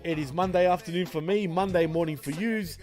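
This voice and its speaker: A man's voice: on the high side, brisk, darkish tone, soft background music. Laid-back Australian man, swearing and laughing. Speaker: podcast co-host. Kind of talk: casual late-night wrestling podcast chat.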